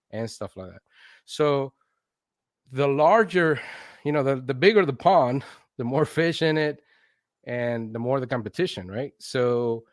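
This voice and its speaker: A man's voice: medium pitch, dry recording. Guy late 40s, spanish speaker, chatty storytelling, quotes his own thoughts, keeps checking in, dry sound no room. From Venezuela. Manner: animated self-quoting, often checks in with "right?". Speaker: interview guest, consultant in instructional design. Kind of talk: conversational personal storytelling.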